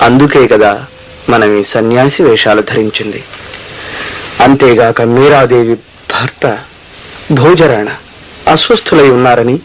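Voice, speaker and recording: A man's voice: medium pitch, natural voice. A man playing a reverent, noble Mughal emperor in a radio play, speaking in formal, declamatory style. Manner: formal, declamatory, grandly literary phrasing. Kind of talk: Telugu radio play acting. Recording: noisy old radio play recording.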